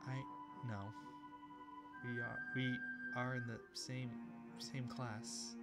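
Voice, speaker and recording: A man's voice: mid-range voice, little pitch movement, gravelly, loud music behind the voice. A man reading as a stammering shy schoolgirl. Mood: timid. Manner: stammering, halting. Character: shy timid teenage girl, stammering.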